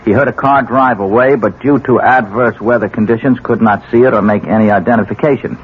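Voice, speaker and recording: An actor playing a skeptical, educated young police detective with a casual, slangy manner in a 1970s radio drama. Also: male voice, mid-range voice, steady tone, a little fast, close to an everyday voice. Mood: businesslike. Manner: casual, drawling hesitations, hip slang. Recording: muffled, faintly noisy old radio broadcast.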